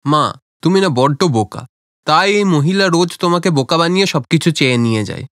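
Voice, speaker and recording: A man's voice: a little high, animated, fairly quick, fairly bright tone, no room sound, clean studio sound. A man voicing a blunt, irritated young adult son in a cartoon story, grumbling and dismissive. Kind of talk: animated moral story cartoon dialogue.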